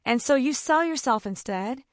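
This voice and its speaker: A woman's voice: high voice, normal speed, no echo, pitched above the performer's own voice. Young woman archivist, wounded and emotionless on the surface, voiced by the narrator; dry studio sound. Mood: hurt. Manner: flat, emotionless.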